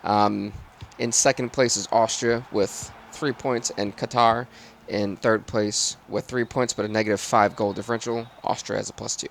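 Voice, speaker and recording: A man with a raspy voice doing live football game commentary and stream chat in sportscaster phrasing. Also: on the low side, level tone, normal speed, a bit bright, a little hiss or hum.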